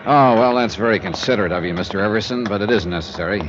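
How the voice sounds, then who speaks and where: A man's voice: on the low side, lightly acted. Man playing a hard-boiled insurance investigator, wry, clipped, old radio drama, muffled recording with light hiss. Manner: dry, wisecracking, brisk clipped delivery. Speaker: narrator and lead character. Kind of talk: 1950s radio drama acting.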